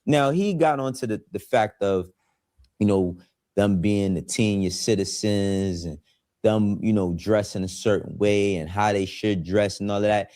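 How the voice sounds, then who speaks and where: A man in his forties hosts his own online show in a laid-back, streetwise way. His voice sits very low. The recording is dry and close. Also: unhurried, a little mellow, dry recording. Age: 40s. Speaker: YouTube show host. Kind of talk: casual commentary.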